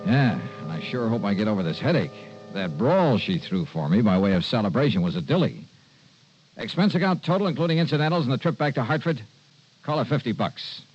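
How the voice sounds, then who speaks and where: A man's voice: medium pitch, very animated, a little fast, a little mellow, faint music behind, close to an everyday voice. An American man playing a wry, self-assured freelance insurance investigator in 1950s radio noir style, casual and slangy. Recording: muffled, noisy vintage radio recording.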